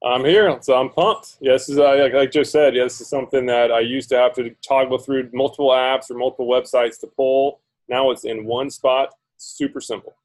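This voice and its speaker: A man co-hosting over a video call, chatting in a relaxed, brief way from a room at home. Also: medium pitch, moderate pace, hardly any pauses. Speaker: co-host. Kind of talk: casual video call chat.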